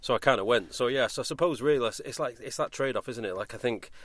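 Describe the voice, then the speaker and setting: A man's voice: medium pitch, fast talker, a bit bright, no room sound. A man hosting a casual podcast, talking in a discursive way and often interrupting himself. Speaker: podcast host, voice actor.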